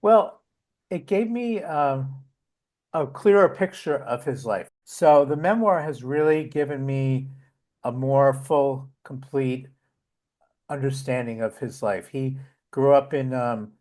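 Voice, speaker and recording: A man's voice: medium pitch, takes it slowly, a little mellow. A man, a guest volunteer, talking casually in an interview, with a rambling delivery full of fillers and restarts.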